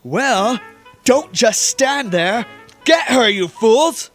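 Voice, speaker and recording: A man acting in an audio drama as a young hero posing as a pompous high priest, blustering and stumbling over his words. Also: animated, very slow, faint music behind, heavily acted. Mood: blustering command.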